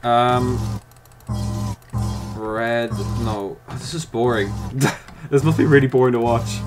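A man's voice: mid-range voice, steady tone, takes it slowly, over music. A man giving let's-play commentary, chatting to himself while he works out puzzles and snapping at the game in mock frustration. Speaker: gaming YouTuber.

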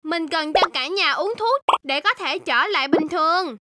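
Tiny high-voiced baby shark. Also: female voice, lively intonation, moderate pace, pushed and bright, exaggerated voice. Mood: earnest, pleading. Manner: exclamatory, addresses young viewers directly. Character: young baby shark, sweet, easily frightened, earnest. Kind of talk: children's cartoon acting.

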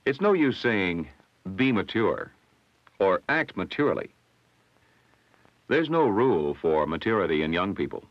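An American man narrating a scripted 1960s educational film in a measured, instructive manner. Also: lowish voice, no room sound. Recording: dull, lo-fi old film soundtrack.

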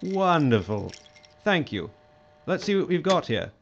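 A man's voice: fairly high pitch, very animated, measured pace, gravelly, full character voice. A man acts out a self-absorbed company boss for an audio drama. His delivery is grandiose and dismissive, with very varied intonation. The sound is slightly dull, with faint noise behind it. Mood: smug, bossy.

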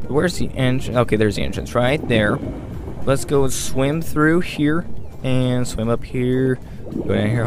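A man giving casual game walkthrough commentary, chatty and thinking aloud as he plays. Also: mid-range voice. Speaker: gaming video host.

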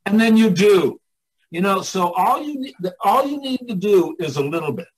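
Husky older man, candid and salty. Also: high voice, sing-song, moderate pace, rough recording. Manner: candid, profane, folksy. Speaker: artists' coach and mentor, podcast guest. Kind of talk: casual motivational chat.

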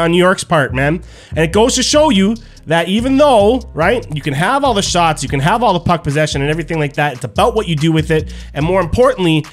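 A young man streaming casual post-game hockey talk to viewers from a small room at home, close on a studio mic. He talks in an animated way and repeats phrases for emphasis. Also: high voice. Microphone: studio mic on stand, headphones on.

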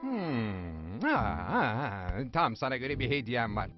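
A woman's voice: extremely low pitch, huge pitch swings, a little slow, full character voice. A woman voicing a friendly, jolly gift-giving cute dragon in a dubbed preschool cartoon, with a singsong manner. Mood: cheerful, eager. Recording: dull lo-fi cartoon audio under loud music.

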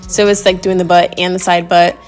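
A woman's voice: on the low side, level tone, fairly quick, a little mellow. A young adult woman vlogger casually narrating a workout. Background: faint music.

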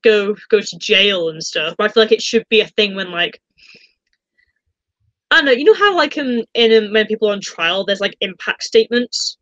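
A woman's voice: mid-range voice, lively intonation, normal speed, a bit bright, no room sound, highs cut off. A young woman chatting casually and giving opinions in a rambling, informal way, often repeating phrases. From northern Britain. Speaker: podcast co-host.

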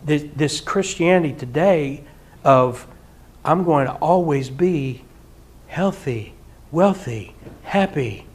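A middle-aged man preaching in a church hall, his voice sitting fairly high for a man. He talks animatedly, slipping into mock singing and quick laughs, and is picked up by a camera out in the room. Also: animated, a little mellow, husky. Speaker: pastor. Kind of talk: sermon. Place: church hall with projector screen.

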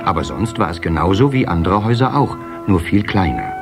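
A man narrating a children's fairy tale as a measured, expressive storyteller in an audio play. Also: deep voice, music in the background. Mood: storytelling.

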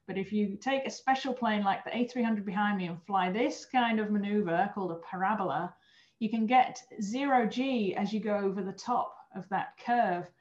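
A woman's voice: mid-range voice, moderate pace. A European woman in her thirties talks about her work as a guest on a video call interview. She explains things earnestly, restarting a phrase now and then. The sound is muffled and lo-fi, with a roomy echo around her voice. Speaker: guest, former astronaut trainer. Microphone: webcam on video call.

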